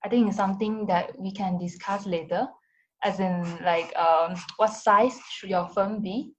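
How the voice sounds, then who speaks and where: A woman's voice: mid-range voice, fairly even intonation, unhurried, rough recording. A woman moderating an online design talk over a video call, chatty, laughing often and using many fillers.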